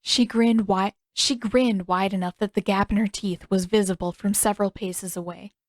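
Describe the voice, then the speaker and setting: A woman reading scripted fiction as an expressive storytelling narrator. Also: medium pitch, moderate pace, no room sound.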